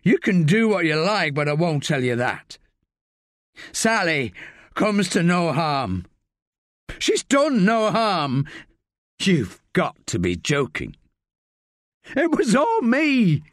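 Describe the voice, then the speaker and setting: A man's voice: high-pitched, wildly swooping pitch, takes it slowly, pauses often, fairly bright tone, no echo, studio quality, voice pushed up, full character voice. A man reading an audiobook, voicing a dying man who speaks urgently and earnestly. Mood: urgent, resigned. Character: dying man, weary and resigned.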